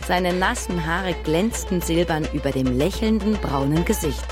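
A woman's voice: fairly low pitch, moderate pace, over music, close to an everyday voice. A woman acting as the narrator of a radio play, telling a mystery from her childhood. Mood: reflective.

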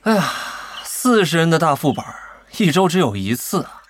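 An actor playing a mocking, cocky online guild veteran in an audio drama. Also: male voice, a little high, sing-song, moderate pace, slight background noise. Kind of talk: Chinese audio drama acting.

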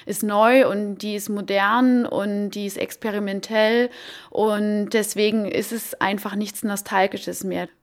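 Slow-speaking woman, medium pitch. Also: steady tone. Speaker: interviewee. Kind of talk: interview talk.

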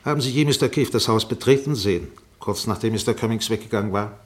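An actor playing a sharp, sarcastic defense barrister in a radio courtroom drama, pressing, insinuating and commanding. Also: male voice, lowish voice, fast talker, close to an everyday voice. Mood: controlled, probing. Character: sharp, sarcastic male defense barrister cross-examining a witness.

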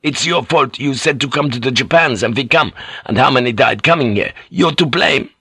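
A man's voice: mid-range voice, normal speed, sharp tone, breathy edge, dry recording, clean studio sound, pitched above the performer's own voice. A man voicing a frantic, foul-mouthed sailor in an audiobook, ranting and blustering as he cracks into madness. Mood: angry ranting. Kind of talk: dramatic audiobook character voice.

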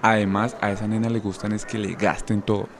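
A man's voice: lowish voice, little pitch movement, brisk, slight background noise, natural voice. A man playing a skeptical, teasing young friend in a radio soap, blunt and slangy with a Bogotá accent. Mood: mocking. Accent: Colombian, Bogotá. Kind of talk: amateur radio soap opera acting.

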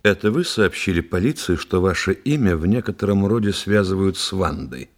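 An actor playing a courteous, probing forensic psychiatrist in a Russian radio play, polite and musing in manner. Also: male voice, deep voice, expressive, normal speed. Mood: inquisitive. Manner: polite, musing, repeats words while thinking.

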